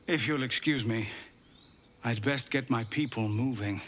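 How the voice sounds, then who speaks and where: An actor playing a weary middle-aged leader of refugees, speaking in a grave, pleading way. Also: male voice, medium pitch, a little slow, fairly bright tone, slight background noise, natural voice. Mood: worried. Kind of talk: video game dialogue. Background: game music.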